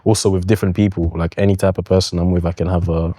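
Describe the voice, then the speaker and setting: Deep mellow-voiced young man. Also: rapid-fire, no echo, studio quality. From Tanzania. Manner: relaxed, repeats himself, many likes and fillers. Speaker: guest, comedian and streamer. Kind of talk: casual conversation.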